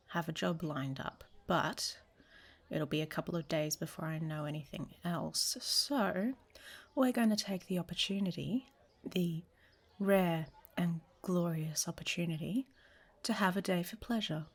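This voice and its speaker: A woman's voice: lowish voice, takes it slowly, slightly rough, full character voice. A woman playing a worldly, flirtatious traveler in an audio roleplay, speaking to the listener in a teasing, often half-whispered way. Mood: playful bossy.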